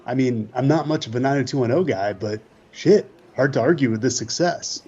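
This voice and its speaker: A man playing a friendly, casual indie filmmaker in a comedic audio play, with a husky voice and slightly dull audio. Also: mid-range voice, fairly quick, a little mellow, natural voice.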